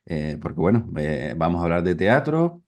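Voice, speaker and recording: A man's voice: deep voice, big pitch swings, normal speed, darkish tone. A man hosting an interview program, enthusiastic, filling the talk with affirmations and tag questions. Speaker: program host.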